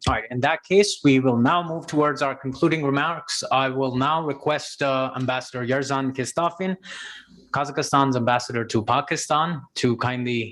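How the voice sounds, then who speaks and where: A man moderating a formal policy webinar, polite and measured, with frequent pauses and fillers. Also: mid-range voice, a bit bright.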